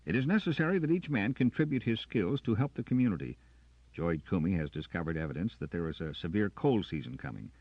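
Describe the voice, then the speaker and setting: A man's voice: low-pitched, big pitch swings, fast, no room sound, highs cut off, pitched above the performer's own voice, full character voice. A man voicing a weary, evasive male arms officer in an audiobook, speaking in an earnest, persuasive way.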